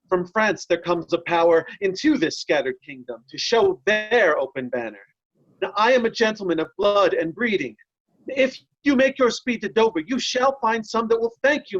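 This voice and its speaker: Dull, lo-fi sound carries a woman acting a steadfast nobleman in a Shakespeare play. The speech is earnest and urgent, with a widely moving pitch. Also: animated, normal speed, close to an everyday voice. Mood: urgent, confiding. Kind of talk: theatrical Shakespearean reading.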